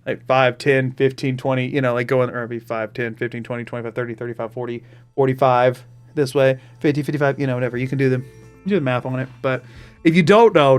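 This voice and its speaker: A man's voice: medium pitch, steady tone, quick pace, soft background music. A man with a Southern US accent, streaming and chatting casually in a rambling, self-interrupting way. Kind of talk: casual chat while gaming.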